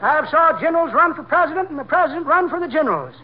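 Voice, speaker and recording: A man's voice: lively intonation, quick pace. A man playing a talkative old-timer who spins boastful tall tales in folksy, ungrammatical speech on a 1940s radio comedy. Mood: folksy boasting. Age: older. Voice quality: high, aged-sounding character voice. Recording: dull, noisy 1940s radio recording.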